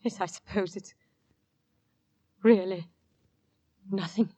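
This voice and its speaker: A woman's voice: mid-range voice, steady tone, takes it slowly, dark tone, husky, no room sound. A woman playing an anxious, deferential middle-aged landlady and mother in a 1940s radio drama, nervous, hesitant and placating. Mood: troubled, faltering. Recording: vintage 1940s radio broadcast.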